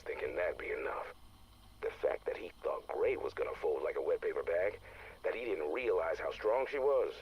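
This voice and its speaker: An actor playing a blunt, impatient male soldier with a gruff, sardonic manner. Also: low-pitched, dull audio.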